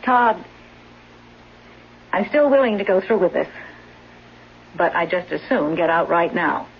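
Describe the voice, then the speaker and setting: An actress playing a scheming caregiver and secret lover in a 1970s radio drama, brisk, sardonic and hard-edged. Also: female voice, on the low side, lively intonation. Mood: hard, resentful. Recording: dull, noisy, humming vintage radio recording.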